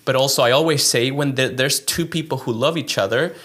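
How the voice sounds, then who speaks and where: A man's voice: medium pitch, fairly quick, a bit bright, slightly rough. A young man from Chile who works as a pastor, talking earnestly in a casual interview and often restarting his sentences.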